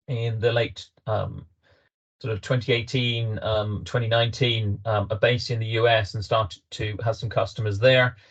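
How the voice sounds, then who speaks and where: A British man presents his company in a business webinar, stopping often to fill gaps with ums and start sentences over. His voice sits at an ordinary male pitch. The call audio is dull and lo-fi. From Britain. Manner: hesitant, many ums and restarts. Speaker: webinar host, company representative.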